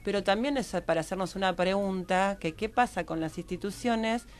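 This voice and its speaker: A woman's voice: medium pitch, normal speed. A woman, explaining informally as an interview guest and tentatively rephrasing as she goes. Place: radio studio.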